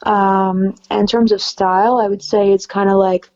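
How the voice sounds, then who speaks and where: A young woman with a soft, raspy, low-leaning voice speaks in a relaxed, chatty way, full of "like" and "you know." Her tone is warm and mellow. The audio sounds a little muffled and dull. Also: measured pace. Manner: relaxed, chatty, many fillers. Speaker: singer-songwriter guest. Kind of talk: casual interview chat.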